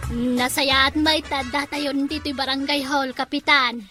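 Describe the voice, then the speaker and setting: Bright high Ilocano woman. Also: steady tone, a little slow, faint music behind. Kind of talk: Ilocano comedy skit acting.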